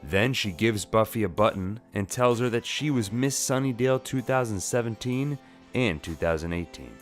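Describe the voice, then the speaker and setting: A man's voice: fairly low pitch, animated, moderate pace. A man giving a scripted, dramatized narration of a comic story. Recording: music bed behind the voice.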